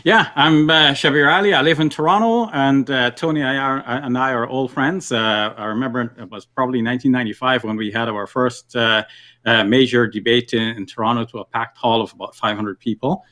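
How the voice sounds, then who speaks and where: An older man, a scholar guest, introducing himself with many hesitant pauses. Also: mid-range voice, pushed and bright. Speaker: debate guest.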